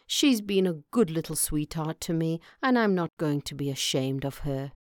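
A woman's voice: deep voice, lively intonation, normal speed, no room sound. Woman reading as an earnest young farm lad. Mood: fond, resolute. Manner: earnest, plain-spoken. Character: loyal, modest young farmer's son, fond sweetheart. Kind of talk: storybook character voice.

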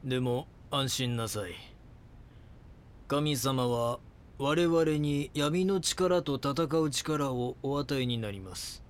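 An actor playing a messianic cult leader in an audio drama, speaking in solemn, pompous, preacher-like pronouncements. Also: male voice, mid-range voice, takes it slowly, close to an everyday voice. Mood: solemn, prophetic.

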